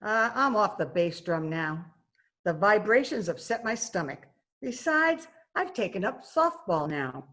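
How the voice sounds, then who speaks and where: A woman's voice: mid-range voice, big pitch swings, measured pace, fairly bright tone. An actress playing a brash, joking, aging cleaning woman in an old-time radio detective drama. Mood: boastful. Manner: brassy, joking banter. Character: brash, wisecracking aging scrubwoman, tough and sporty. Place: at home, recorded remotely.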